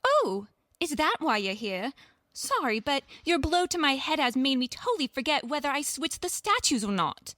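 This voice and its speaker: Woman playing a high-pitched, feisty sarcastic dame. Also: sing-song, moderate pace, no room sound, clean studio sound, heavily acted. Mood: defiant sarcasm. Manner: sarcastic, sharp-tongued. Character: feisty, sarcastic captive young dame, defiant. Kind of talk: audio drama acting.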